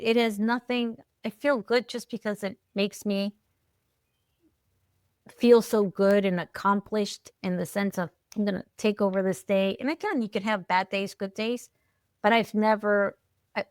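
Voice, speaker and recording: A woman's voice: mid-range voice, dry recording, clean studio sound. A middle-aged woman chatting casually as a podcast guest, restarting phrases often and checking in with little tags as she reflects. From Peru, moved there at ten. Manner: halting, repeats words, often checks in with "right?" and "you know". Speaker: podcast guest, alcohol-free coach. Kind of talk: casual, reflective interview talk.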